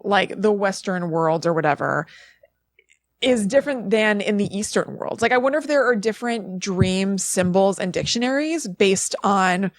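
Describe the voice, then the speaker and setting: A woman's voice: mid-range voice, animated, moderate pace, no echo. Woman chatting casually on a dry-sounding podcast. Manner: informal, filler-heavy, musing. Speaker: podcast co-host presenting research. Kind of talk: casual conversational explaining.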